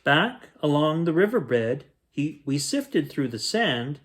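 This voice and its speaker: A man reading a rhyming picture book aloud as a bedtime story, in a gentle, expressive storytelling manner. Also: a little high, takes it slowly. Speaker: children's bedtime story reader.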